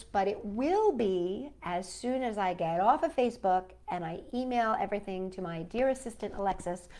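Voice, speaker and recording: A woman's voice: mid-range voice, darkish tone. A woman hosting children's storytime, reading picture books aloud with enthusiastic, chatty asides to young viewers.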